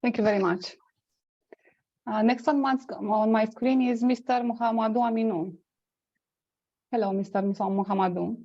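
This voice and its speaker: A woman with a mellow tone politely calls on each next speaker. Her voice comes over a dull video-call line. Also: a little high, steady tone, normal speed, pauses often. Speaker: moderator. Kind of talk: moderating an online roundtable.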